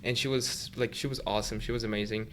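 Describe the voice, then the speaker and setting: A man's voice: lowish voice, quick pace, a little hiss or hum. Young man. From Uzbekistan. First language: Russian. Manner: relaxed, leans on "like" as filler. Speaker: podcast guest, student tutor. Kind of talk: casual interview chat.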